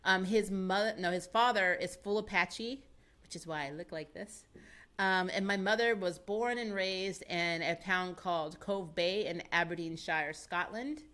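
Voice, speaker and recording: A woman's voice: lowish voice, measured pace. Chatty American woman streaming from home. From United States. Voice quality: chatty and animated, laughs often, thinks aloud with fillers. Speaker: live-stream host reading chat. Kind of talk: casual chat. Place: small home studio with shelves of collectibles. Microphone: boom-arm studio microphone close to the mouth.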